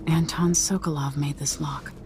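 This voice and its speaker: A woman playing a determined young empress on the run in a video game, speaking to herself in a hushed, resolute way. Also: low-pitched, steady tone, normal speed, very noisy, natural voice. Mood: hushed, reflective. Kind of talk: dramatic game voice acting. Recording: music behind the voice.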